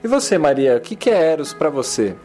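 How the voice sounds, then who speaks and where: A man's voice: fairly high pitch, very animated, brisk, dark tone, faint music behind. A man in his thirties hosts a community radio talk, thinking aloud and restarting phrases as he searches for words. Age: 30s. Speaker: radio program host. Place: publisher's recording studio. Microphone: handheld recorder.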